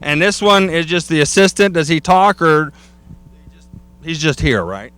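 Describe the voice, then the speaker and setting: A man's voice: a little high, animated, measured pace, a bit bright, clear, resonant tone, no echo. A man hosting outdoors on a wooded trail, chatting and joking with guests. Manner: jokey, chatty. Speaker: host. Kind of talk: casual chat.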